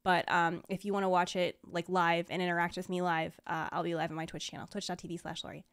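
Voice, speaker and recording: Young adult female streamer, smooth clear voice, effusive casual reaction chat on a close mic at home. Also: fairly low pitch, little pitch movement, quick pace, fairly bright tone. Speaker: streamer and video host. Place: small home streaming room. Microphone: close desk mic, headset worn.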